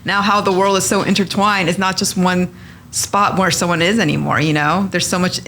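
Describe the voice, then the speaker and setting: A woman's voice: fairly low pitch, fairly even intonation, moderate pace, bright, forceful tone. A woman in her thirties hosting a podcast interview as an immigration attorney, talking in an enthusiastic, welcoming way. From United States. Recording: faint background noise.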